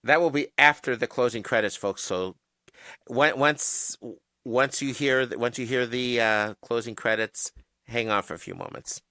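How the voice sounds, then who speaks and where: A man's voice: mid-range voice, moderate pace, pushed and bright, dry recording, clean studio sound. A man co-hosting a fan podcast, chatting casually and explaining things carefully. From Mexican-American family. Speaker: podcast co-host. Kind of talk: casual chat.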